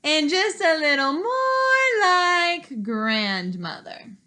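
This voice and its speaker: A woman's voice: very high-pitched, very animated, drawn-out words, bright, forceful tone, pitched above the performer's own voice, heavily acted. A woman putting on a sly, wicked storybook wolf. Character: sly hungry male wolf, storybook villain.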